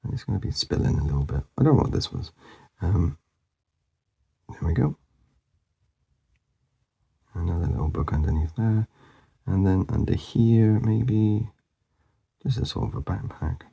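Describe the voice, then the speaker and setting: A man talking casually as he works through a drawing, mumbling and rambling in a soft voice that often drops to a whisper, and he is losing his voice. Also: deep voice, lively intonation, moderate pace, vocal fry, long pauses, slightly rough. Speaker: artist demonstrating a drawing.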